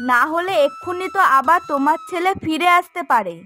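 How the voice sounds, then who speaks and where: A woman's voice: very high voice, a little fast, bright, forceful tone, soft background music. A woman voicing an eager, dutiful young daughter-in-law in a cartoon, with a chatty, practical, coaxing manner. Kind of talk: cartoon story dialogue.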